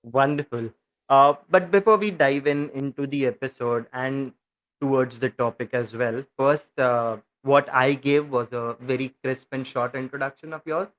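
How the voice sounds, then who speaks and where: A man's voice: mid-range voice, normal speed, a bit bright, rough recording. A man hosting a podcast interview, polite and formal, thanking his guest at length with some fillers. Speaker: podcast host.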